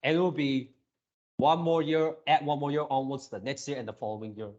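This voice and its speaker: A man hosting a reaction video with casual, off-the-cuff commentary, speaking haltingly and repeating his words and phrases. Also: mid-range voice, steady tone, darkish tone, muffled recording.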